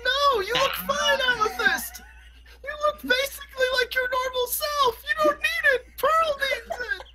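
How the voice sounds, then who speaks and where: A woman playing an excitable, naive little boy in an improvised cartoon fandub, giggly, rambling and given to shouting. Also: extremely high pitch, unhurried, rough recording. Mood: frantic.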